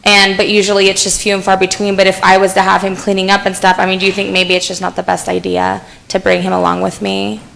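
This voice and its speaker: A mother at a community workshop asks a chatty question, loud and apologetic. Her voice has a gravelly fry, with a faint hum of noise behind her. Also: medium pitch, completely flat delivery, fast, few pauses, low-quality recording.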